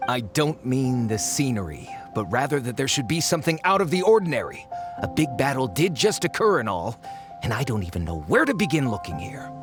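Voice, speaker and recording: Sly greedy treasure hunter voiced by a man, animated delivery, light music under. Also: medium pitch, brisk, close to an everyday voice. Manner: smooth, sly, slips and covers himself. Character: scheming greedy male treasure hunter posing as archaeologist. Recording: game audio with music.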